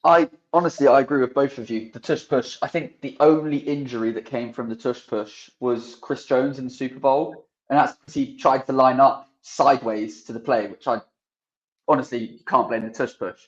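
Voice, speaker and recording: A man's voice: mid-range voice, fairly even intonation, normal speed. A man phoning in to a show, giving his opinion casually over a remote call. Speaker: phone-in caller. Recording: slightly dull remote call audio.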